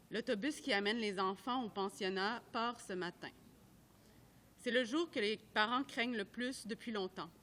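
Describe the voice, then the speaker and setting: A woman reading a report aloud at a public event, in a measured, careful way that sometimes trips over names. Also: medium pitch, pushed and bright, slight background noise. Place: art gallery at a live event.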